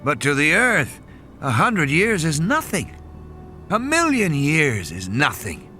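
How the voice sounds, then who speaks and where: A man's voice: a little high, huge pitch swings, slow, sharp tone, soft background music, exaggerated voice. A man voicing a weary, cynical scientist who lectures with scorn in emphatic, dramatic phrases for an audio play. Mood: scornful, grave.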